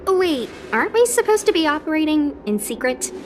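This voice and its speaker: A woman's voice: high voice, very animated, fairly quick. A woman voicing a sassy, skeptical young girl in an anime game, frank and teasing in manner. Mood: skeptical, curious. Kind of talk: anime game dialogue acting.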